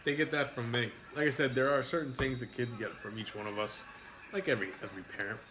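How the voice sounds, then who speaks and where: Man, mid-pitched voice, blunt, teasing, swears, runny nose. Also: steady tone, fast, noisy background. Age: adult parent. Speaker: mukbang host, truck driver. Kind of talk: casual chat while eating.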